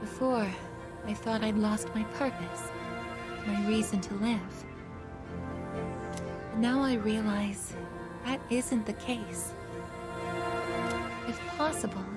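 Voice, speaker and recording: A gentle young female android in a video game. She speaks slowly and earnestly in a slightly high voice, halting and polite, under loud music. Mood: hesitant, earnest.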